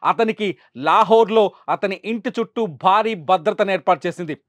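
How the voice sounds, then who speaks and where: A man's voice: high voice, moderate pace, a bit bright, faint music behind. A man presenting news commentary emphatically, repeating phrases for rhetorical weight.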